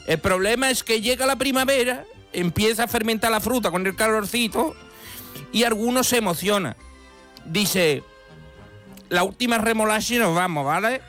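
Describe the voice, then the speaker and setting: Man playing a high-voiced, boozy, belligerent French deer. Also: fairly bright tone. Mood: defensive, boastful. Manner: theatrical, indignant, boastful storytelling. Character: boozy, belligerent French deer pleading his case in a letter. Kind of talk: comic radio monologue.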